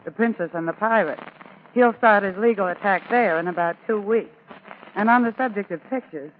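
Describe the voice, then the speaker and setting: A high-pitched male gossip reporter delivers scripted chat in 1940s radio style. The broadcast is thin and tinny, with faint noise.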